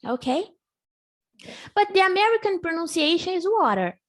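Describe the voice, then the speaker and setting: A woman's voice: very high voice, very animated. A woman whose first language is Portuguese, teaching a live online English lesson and patiently drilling each word. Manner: patient, slow drilling, repeats words for the student.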